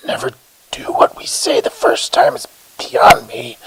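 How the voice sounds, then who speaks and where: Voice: medium pitch, huge pitch swings, vocal fry, fairly bright tone, breathy edge, exaggerated voice, rough recording. An actor voicing a gloating, sadistic demonic entity in a hissing, menacing whisper for a horror audio drama. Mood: vengeful, gloating. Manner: menacing, drawn-out, mocking.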